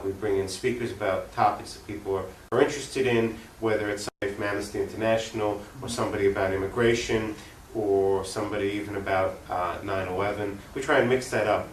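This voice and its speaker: A man from Long Island, New York, giving conversational, explanatory interview answers with halting pauses. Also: lowish voice. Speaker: interview guest, political activist. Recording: roomy, distant mic, faint noise.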